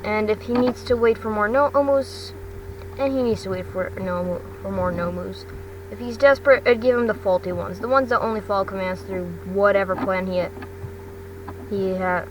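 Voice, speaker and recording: Female reader as scheming male villain, storybook dialogue, commanding yet halting, distant mic, clear noise. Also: mid-range voice, pitched below the performer's own voice, natural voice. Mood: commanding, dismissive. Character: scheming villain father, commanding, calculating man. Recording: distant mic, noisy background hum.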